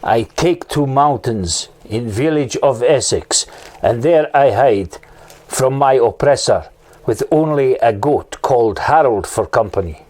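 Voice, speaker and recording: A man playing a melodramatic runaway who speaks in loud, slow broken English, in a comedy sketch. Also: mid-range voice, lively intonation, voice pushed down, close to an everyday voice. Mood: declaiming.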